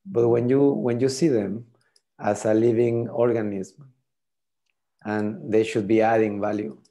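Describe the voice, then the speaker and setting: A man's voice: fairly low pitch, steady tone, measured pace, mellow. A middle-aged man whose first language is French, explaining a mapping tool on a video call, often repeating words as he thinks. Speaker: tool demonstrator. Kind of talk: casual video call demo.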